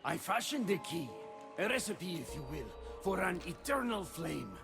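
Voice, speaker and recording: A man's voice: high voice, sing-song, moderate pace, gravelly, full character voice. An actor playing an anxious, devoted male god in a video game cutscene, speaking in an earnest, theatrical, formal way. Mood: grateful, earnest. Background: music.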